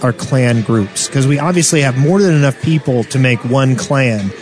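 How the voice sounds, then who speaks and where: A man's voice: mid-range voice, moderate pace, music in the background. A man talking casually in a group discussion as a podcast co-host. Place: indoors at a LAN party.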